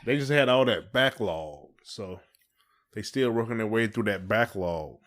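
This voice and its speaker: Low-pitched American man chatting casually. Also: lively intonation, a little slow, fairly bright tone. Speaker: podcast co-host. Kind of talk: casual podcast chat.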